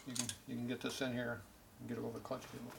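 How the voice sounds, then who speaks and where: A middle-aged man talks quickly through an engine job at a workbench, his voice gravelly. He's blunt and offhand, and the clink of tools carries through from the shop. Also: mid-range voice, completely flat delivery, background noise. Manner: explains while working, blunt and offhand. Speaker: machinist and mechanic. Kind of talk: hands-on shop demonstration. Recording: shop clatter of tools behind.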